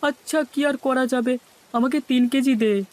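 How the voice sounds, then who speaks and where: A man's voice: extremely high pitch, fairly even intonation, a little slow. A man voicing a grumbling, complaining village shopper in a cartoon. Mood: indignant, resigned. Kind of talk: cartoon dialogue.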